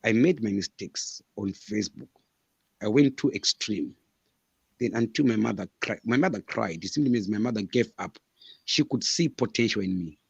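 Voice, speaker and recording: A man's voice: lowish voice, lively intonation, normal speed, gravelly, slightly rough, no echo, muffled recording. A man in his thirties from Zimbabwe, a guest author answering interview questions in an earnest, emphatic way and repeating his points. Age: 30s.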